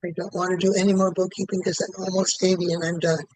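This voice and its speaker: Quick, flat-voiced man in his seventies. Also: sits high, no room sound, rough recording. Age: 70s. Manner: repeats one statement over and over in a quick, even rhythm. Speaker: client in a tapping session.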